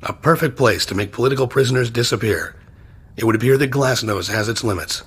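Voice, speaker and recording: A serious, gruff male special agent from a video game. His voice is extremely husky and gravelly, at a medium pitch for the role. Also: fairly quick. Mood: grim. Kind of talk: dramatic video game acting.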